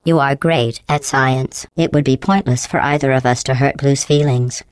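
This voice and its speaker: A woman playing a coldly menacing, sarcastic female artificial intelligence in a video game, deadpan and falsely polite, with robotic processing on the voice. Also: very deep voice, smooth, dry recording. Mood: falsely polite, condescending. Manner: deadpan, passive-aggressive, falsely polite, measured enunciation. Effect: robotic synthesized voice processing. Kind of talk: scripted video game voice acting.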